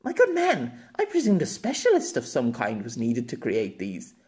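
A man's voice: mid-range voice, huge pitch swings, moderate pace, a little mellow. A man voicing an imperious, theatrical young woman in an audiobook, speaking in a formal, pompous manner. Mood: eager. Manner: formal, pompous, overly dramatic. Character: youthful silver-haired woman, imperious, theatrical, childishly gleeful. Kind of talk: amateur audiobook character acting.

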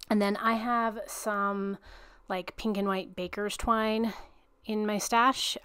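A woman hosting a card-making tutorial, talking through each step in a relaxed, chatty way with a self-deprecating touch. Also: medium pitch, clean tone, no echo. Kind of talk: step-by-step craft tutorial narration.

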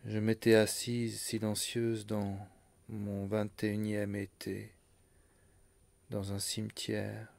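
A man reading poetry aloud in a literary recitation, phrasing deliberately in short, separated groups of words. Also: on the low side, monotone, creaky, a little mellow. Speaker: poetry reader.

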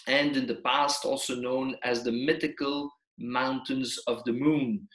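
Belgian-born tour leader in echoey room. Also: male voice, fairly high pitch. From Belgium, raised in Congo. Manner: hesitant, many fillers. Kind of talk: slideshow travel presentation.

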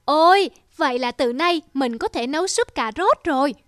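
A woman's voice: high voice, expressive, moderate pace, fairly bright tone. A woman voicing a timid, childlike young rabbit in a cartoon, exclamatory and eager. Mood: cheerful. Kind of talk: cartoon dubbing.

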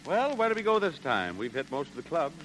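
A man's voice: fairly high pitch, big pitch swings, fairly quick, a bit bright. An actor playing a breezy, stubborn rich young man, bantering in the style of 1930s radio drama. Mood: glum, bantering. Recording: faintly noisy 1930s radio broadcast.

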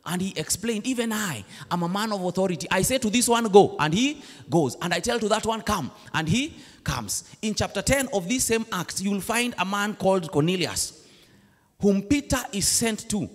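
A man's voice: high-pitched, lively intonation, moderate pace. A man with a Kenyan accent preaching a sermon in a church, switching between English and Swahili slang. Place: church hall. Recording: long church reverb.

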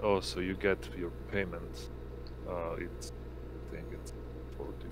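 A man acting as game master in an online tabletop role-playing game, narrating in a halting, hesitant way. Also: low-pitched, little pitch movement, background noise. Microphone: online voice call.

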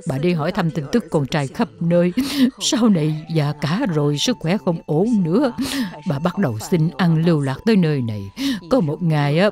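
An actress playing a frail old beggar woman in an animated drama, humble, plaintive and sorrowful. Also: low-pitched. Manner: plaintive, lamenting. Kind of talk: animated drama dub acting.

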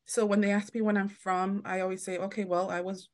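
A woman's voice: medium pitch, little pitch movement, brisk. A woman guest on a podcast, talking at length in a casual, storytelling way. She restarts her sentences often and slips into the voices of the people she quotes. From United States. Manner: chatty, many restarts, acts out quoted voices. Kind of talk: casual interview chat.

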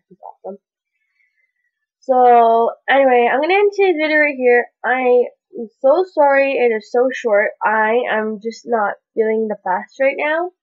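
A young woman vlogging from her bedroom, chatting casually with lots of "like" while sounding congested and stuffy. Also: a little high. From Canada. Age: young adult. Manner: chatty, rambling, many "like" fillers. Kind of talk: casual chat to camera. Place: small bedroom indoors. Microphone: webcam at desk distance. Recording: dull lo-fi webcam audio.

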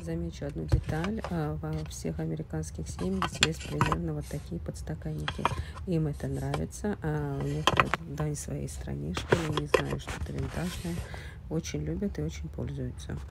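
A woman with a low voice, recovering from a slight illness, narrates a casual unboxing at home in a soft, breathy half-whisper. Her voice has a gravelly, fry-like edge, and a loud background hiss runs under her chatty talk, which is full of fillers. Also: steady tone, normal speed. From Russian-speaking, living in the US. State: slightly ill, speaking softly. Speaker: vlogger. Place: home, indoors.

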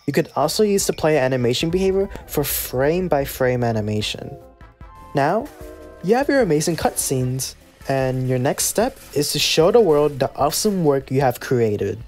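A casual, playful host narrating a scripted software tutorial. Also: medium pitch.

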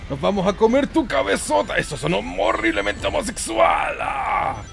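A young man giving casual, excitable, foul-mouthed commentary as host of a gameplay video. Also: sits high, normal speed, creaky, shouting. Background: game music.

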